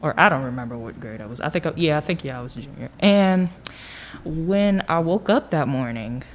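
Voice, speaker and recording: A young American woman with a low-pitched voice talks casually about her own experience. Her delivery is colloquial and animated, as if chatting among peers, and she voices her own reactions along the way. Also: fairly quick, a little hiss or hum. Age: young adult. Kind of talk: casual conversation, personal reflection.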